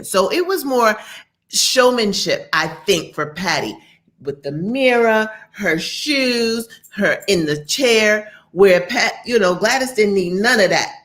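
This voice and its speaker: A woman, chatting candidly as a podcast co-host and acting out quotes. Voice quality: deep voice. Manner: candid, animated storytelling with acted quotes. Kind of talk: casual opinion chat.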